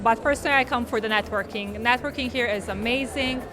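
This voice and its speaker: A woman from Serbia, an event guest, answering questions calmly and plainly. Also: fairly high pitch, fast. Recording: music playing loudly behind.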